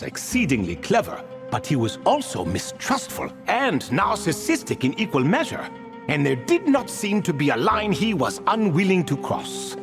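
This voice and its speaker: An ancient riddling spirit, wildly swooping voice. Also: male voice, a little high, exaggerated voice. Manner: theatrical, archaic, musing phrasing. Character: ancient bound spirit, male, riddling, grandiose and wistful. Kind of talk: video game cutscene acting.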